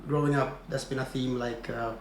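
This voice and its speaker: A man's voice: mid-range voice, little pitch movement, moderate pace. Young Swedish-native man, guest, hesitant and filler-heavy, echoey room with faint hiss. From Sweden. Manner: hesitant, many fillers and restarts. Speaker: podcast guest. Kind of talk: casual conversation.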